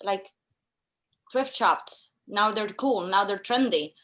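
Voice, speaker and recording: Halting woman from Chile, full of fillers. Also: medium pitch, a bit bright. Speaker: audience member asking a question. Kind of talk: conversational question.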